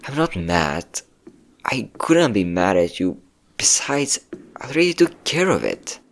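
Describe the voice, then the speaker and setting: A low-voiced, obsessive ex-boyfriend, acted out by a man in an audio roleplay. He is manipulative and possessive, coaxing his lover with pet names while an undercurrent of menace runs through his words. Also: very animated, measured pace, background noise. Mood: pleading, menacing. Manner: coaxing, menacing, calling listener pet names, nervous chuckles. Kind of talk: scripted ASMR-style audio roleplay.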